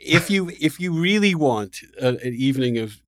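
A man hosting a conversational interview, offering opinions in a halting way that restarts and then turns emphatic. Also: on the high side, animated, no echo. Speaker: podcast host.

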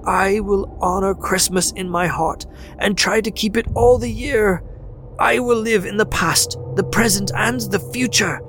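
A man's voice: a little high, lively intonation, slow, a bit bright, slight background noise, pitched above the performer's own voice, full character voice. A man putting on a terrified old miser. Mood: desperate pleading. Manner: pleading, crying out in anguish. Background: music.

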